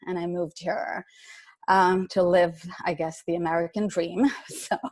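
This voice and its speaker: Low flat-voiced woman from India. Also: a little slow, no echo. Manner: light, laughing, hesitant with fillers. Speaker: artist on a panel. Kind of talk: panel introduction.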